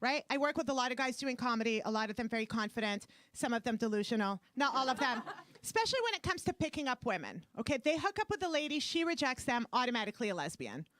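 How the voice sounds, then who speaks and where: A brash woman comic from Canada, with a Brooklyn-sounding accent and a fairly high voice. She rattles off self-mocking punchlines into a handheld mic on a club stage. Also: very animated, fast, few pauses, a bit bright, dry recording. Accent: Brooklyn-sounding English. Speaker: stand-up comedian. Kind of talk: stand-up comedy set. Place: club stage before a live audience.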